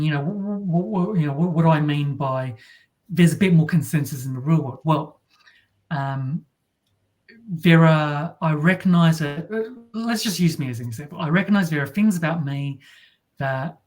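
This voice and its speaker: A woman from Australia with a deep voice, talking as an interview guest in a wry, halting way with many restarts. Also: a little mellow. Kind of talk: casual interview talk.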